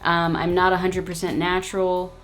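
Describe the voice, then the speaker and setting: A woman's voice: lowish voice, completely flat delivery, normal speed, a bit bright, faint music behind. A woman hosting her own video channel, answering viewers' questions in a frank, casual, slangy way. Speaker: YouTube host. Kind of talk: casual Q&A vlog.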